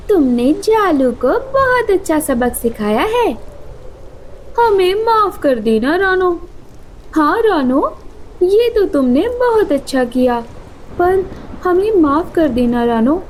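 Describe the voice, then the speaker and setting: A woman voicing flighty forest bird neighbours in a cartoon, excitable and apologetic in manner. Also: very high voice, slow, a little hiss or hum, pitched above the performer's own voice, full character voice, low-quality recording. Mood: apologetic, admiring.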